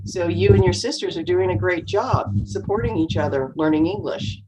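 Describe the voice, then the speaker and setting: A woman with a low, deep voice gives advice to English learners on a video call. She explains patiently, encouraging them to practice, and sometimes acts out a nervous speaker. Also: moderate pace, background noise. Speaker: co-host and speaking coach. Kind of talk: online English class coaching.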